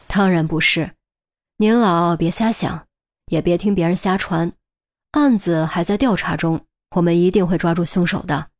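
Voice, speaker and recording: A woman's voice: fairly low pitch, normal speed, darkish tone. A woman voicing a firm senior policewoman in an audiobook, with an authoritative, no-nonsense manner. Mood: solemn, reassuring. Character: firm, brisk senior policewoman, adult, exasperated mentor.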